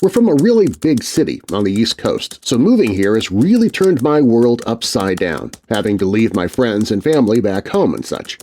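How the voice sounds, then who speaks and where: A man narrating a scary children's story aloud, reading expressively and dropping to a hush at tense moments. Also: on the low side, very animated, normal speed, hardly any pauses, a little hiss or hum.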